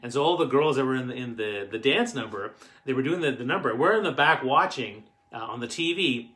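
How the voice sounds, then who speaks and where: Bright-voiced middle-aged Canadian man, rambling storyteller. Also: mid-range voice, expressive. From Canadian Maritimes. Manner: rambling, halting delivery. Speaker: host of a family stories show. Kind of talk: casual personal storytelling to camera.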